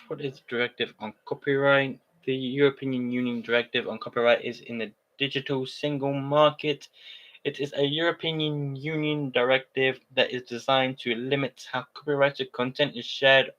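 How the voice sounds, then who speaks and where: Slow rambling young man on a lo-fi stream. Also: medium pitch, bright, forceful tone. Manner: rambling, halting, with many restarts. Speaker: livestreamer. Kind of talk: casual livestream chat.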